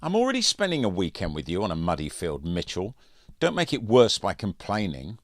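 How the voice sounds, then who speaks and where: Audiobook bully, adult male reader as a sneering teen schoolboy, emphatic and taunting, pitched above the narration, swooping. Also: wildly swooping pitch, fairly quick, no room sound, studio quality, heavily acted. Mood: mocking. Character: scornful, bullying, foul-mouthed teenage schoolboy. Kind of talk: audiobook character voice.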